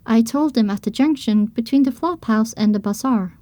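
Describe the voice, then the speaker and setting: High-voiced woman narrating a story. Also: moderate pace, dark tone, no room sound. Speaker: audiobook narrator. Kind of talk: scripted first-person story narration.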